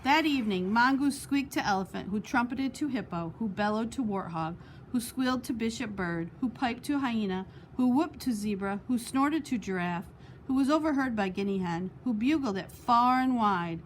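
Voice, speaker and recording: A woman's voice: a little high, expressive, normal speed, a little hiss or hum, poor audio. A woman reading a children's picture book aloud expressively, as a storytime host. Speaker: storytime reader.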